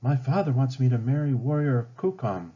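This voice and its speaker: A man voicing a gentle, curious young woman in a storybook, earnest and questioning. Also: mid-range voice, expressive, moderate pace, breathy edge, pitched above the performer's own voice. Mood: wondering. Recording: dull lo-fi home recording.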